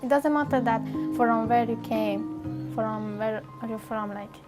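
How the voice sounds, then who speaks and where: A woman's voice: a little high, measured pace. Young woman, earnest, music behind. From Afghanistan. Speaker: refugee. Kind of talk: personal testimony.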